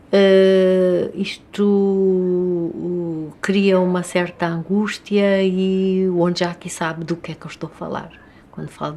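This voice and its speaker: A woman's voice: lowish voice, darkish tone. An older woman from Angola with an Angolan Portuguese accent, speaking reflectively and hesitantly in a documentary interview. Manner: slow, hesitant, thoughtful with many pauses.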